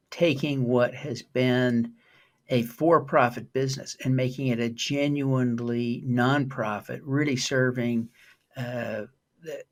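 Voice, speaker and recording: A male show host who introduces his guest and asks reflective questions with enthusiasm and frequent fillers. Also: mid-range voice. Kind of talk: podcast interview host.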